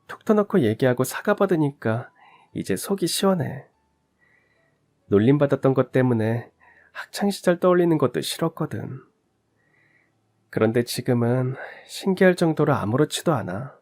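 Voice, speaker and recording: A man voicing a gentle, forgiving middle-aged wife who speaks softly to her husband. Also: medium pitch, animated, normal speed, natural voice. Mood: relieved.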